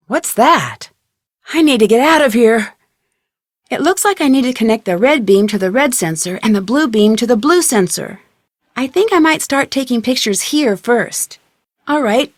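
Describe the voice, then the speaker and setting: A young American woman voicing a plucky, inquisitive amateur detective in a scripted video game, speaking in a crisp, conversational way. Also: on the high side, no echo, studio quality, close to an everyday voice. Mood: thoughtful, practical.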